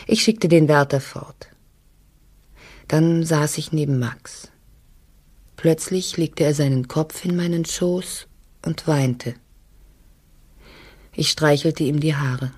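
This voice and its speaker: Radio play: troubled wife, husky and breathy, intimate wistful letter monologue. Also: female voice, low-pitched, slow, pauses often, darkish tone, heavily acted. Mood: wistful, tender.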